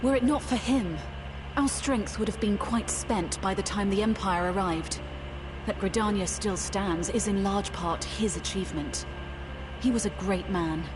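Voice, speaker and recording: A woman's voice: medium pitch, measured pace, close to an everyday voice. An actress playing a gracious, solemn woman leader in a fantasy game, with formal, dignified and courteous speech. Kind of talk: dramatic fantasy game acting. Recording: clear background noise and ambience.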